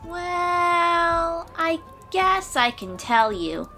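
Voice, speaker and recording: A woman's voice: very high-pitched, sing-song, extremely slow, bright, forceful tone, faint music behind, exaggerated voice. A woman voicing a confident, chatty thirteen-year-old sea-dweller girl warrior in a fantasy audio roleplay, perky and informal. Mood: friendly, confident.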